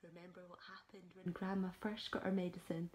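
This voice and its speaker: A woman's voice: on the low side, level tone, brisk, darkish tone. A woman reading a children's story aloud like a primary teacher, with dramatic emphasis, speaking directly to young listeners.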